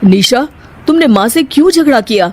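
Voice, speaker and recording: A woman voicing a gentle, placating young husband in a cartoon story. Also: high voice, animated, moderate pace, husky, voice pushed up. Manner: soft, placating.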